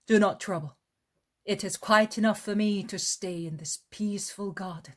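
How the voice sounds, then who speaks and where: A woman doing a calm, grave male teacher. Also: medium pitch, pitched above the performer's own voice, close to an everyday voice. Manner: gentle, measured speech.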